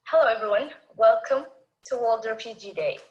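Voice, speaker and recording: Female, from Somalia, measured greeting, dull distant recording. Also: on the high side.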